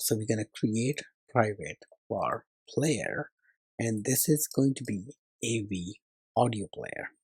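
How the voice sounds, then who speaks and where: A man's voice: on the low side, darkish tone, slightly rough, dry recording. A man narrating a step-by-step coding tutorial as its instructor, hesitantly thinking aloud as he works.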